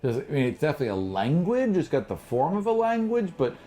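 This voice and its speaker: A man's voice: on the high side, very animated, quick pace, mellow, faint music behind. A man acting as game master, improvising casual, colloquial narration of a fantasy tabletop game. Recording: clear background noise behind group table.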